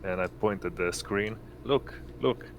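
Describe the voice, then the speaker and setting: Deep-voiced man with noise behind him. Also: measured pace. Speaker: tabletop roleplay player. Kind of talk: casual improvised roleplay chat.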